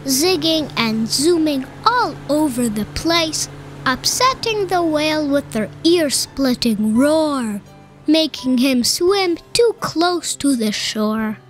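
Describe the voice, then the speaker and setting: A woman narrating a children's picture book aloud in an expressive, deliberate storytelling style. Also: sits high, rough recording. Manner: animated, unhurried, deliberate phrasing. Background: children's music.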